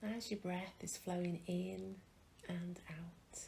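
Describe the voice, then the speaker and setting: A woman leading a guided mindfulness meditation, speaking calmly and gently with long pauses and soft, breathy phrase endings. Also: lowish voice. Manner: calm, gentle, unhurried with pauses. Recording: faint background noise.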